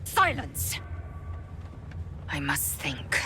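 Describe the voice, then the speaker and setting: A woman's voice: low-pitched, huge pitch swings, extremely slow, noisy background, full character voice. A woman playing a fierce, proud, devout alien warrior in a video game, speaking intensely in solemn, archaic phrases. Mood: shaken resolve. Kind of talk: dramatic video game acting. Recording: loud music behind the voice. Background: loud music.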